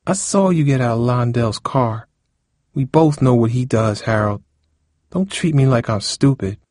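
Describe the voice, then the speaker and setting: A man's voice: fairly high pitch, animated, a little slow, warm tone, no room sound, clean studio sound, voice pushed up. A man voicing a worried, indignant teenage girl in an audiobook, reproachful and pleading. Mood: hurt. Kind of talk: audiobook character dialogue.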